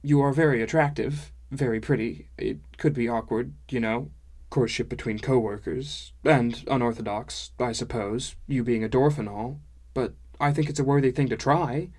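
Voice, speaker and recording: A man's voice: medium pitch, moderate pace, darkish tone, close to an everyday voice. A man voicing an awkward, smitten young man who speaks earnestly and hesitantly in an audiobook. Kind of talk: audiobook character dialogue.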